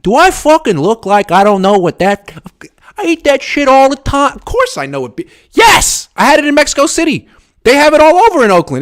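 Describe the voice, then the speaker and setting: A young man hosting a sports call-in show, riffing in an animated, profane, jokey way and reading out chat messages. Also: extremely high pitch. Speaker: host.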